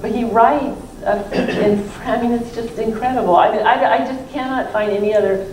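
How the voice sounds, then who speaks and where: A woman's voice: a little high, fairly even intonation, normal speed, a little mellow. Woman lecturer in a roomy, distant recording. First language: not French (non-native French speaker). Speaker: professor and author presenting her book. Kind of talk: informal question-and-answer discussion at a book talk. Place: library event room, recorded from a distance. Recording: roomy, distant mic with faint room noise.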